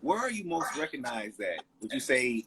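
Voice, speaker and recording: A podcast host from Texas asking questions in a casual, animated way. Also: mid-range voice, crowd noise. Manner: animated, quick reactions and laughs. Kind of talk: casual interview chat.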